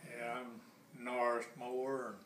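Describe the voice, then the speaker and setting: A man's voice: mid-range voice, completely flat delivery, drawn-out words, a bit bright. A man, a retired truck driver talking plainly in an interview, with a few restarts. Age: 80s. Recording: roomy echo, distant mic, faint noise.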